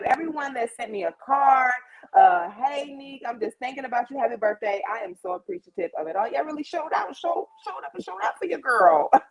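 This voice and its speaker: A woman's voice: on the high side, poor audio. A woman of about thirty hosting a casual livestream, chatting informally and slangily straight to her audience. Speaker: host of a live chat show.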